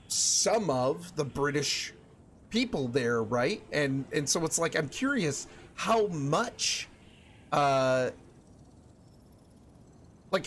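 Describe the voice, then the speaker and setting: A man's voice: on the high side, a bit bright, a little hiss or hum. A young man streaming, chatting casually with his viewers and thinking aloud with lots of restarts and fillers.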